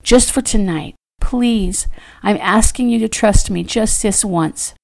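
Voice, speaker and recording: Woman reader doing an anxious devoted young woman, breathy, earnest, kinda hesitant, audiobook drama. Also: mid-range voice, slow pace, a little mellow, voice pushed up, low-quality recording. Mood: pleading.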